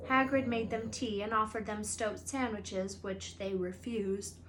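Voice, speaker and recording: A woman reading a children's book aloud as a storyteller, with expressive narration. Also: medium pitch, unhurried, rough recording. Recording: faint background noise and ambience.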